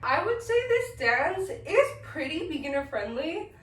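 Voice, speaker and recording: High-voiced sick young woman teaching dance. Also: very animated, unhurried, a bit bright, slight background noise. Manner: chatty, counts beats aloud. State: sick, sniffly. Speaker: dance tutorial instructor. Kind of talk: casual step-by-step dance instruction. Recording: echoey room, mic at a distance.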